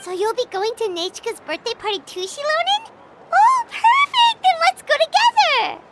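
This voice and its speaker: A woman voicing a small, childlike fairy companion in a video game, eager and naive, with a squeaky voice. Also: very animated, moderate pace, very noisy. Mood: excited. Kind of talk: English video game voice acting.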